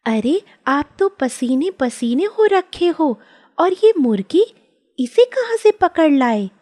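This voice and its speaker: A woman's voice: high voice, very animated, takes it slowly, warm tone, full character voice. A woman voicing a caring, worried wife of a poor villager in a cartoon. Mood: surprised. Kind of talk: cartoon dialogue.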